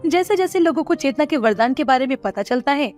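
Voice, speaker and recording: A woman giving scripted narration of an animated moral story. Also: sits high, a little fast, soft background music.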